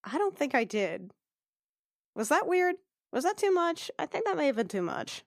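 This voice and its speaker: A woman's voice: sits high, big pitch swings, moderate pace, gravelly, dry recording, clean studio sound, voice pushed up, heavily acted. A woman playing a self-doubting, plain-spoken young princess and healer in a tabletop game, casual, hesitant and wry. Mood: earnest, unsure.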